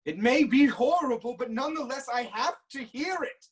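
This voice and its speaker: A man's voice: very high voice, lively intonation, normal speed, slightly rough, exaggerated voice. An actor playing a proud, anguished king in a theatrical reading of Greek tragedy. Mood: demanding.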